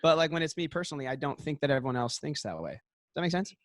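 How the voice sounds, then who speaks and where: A man co-hosting a casual chat podcast, talking quickly with lots of "like" and "you know what I mean.". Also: fairly high pitch, very animated, no room sound, clean studio sound. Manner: talks fast, says he hurries to get through a point. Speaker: podcast co-host.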